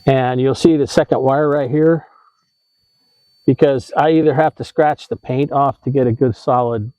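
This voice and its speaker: Mellow man, casual how-to vlogger. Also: medium pitch, no room sound, poor audio. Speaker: vlog host giving RV tips.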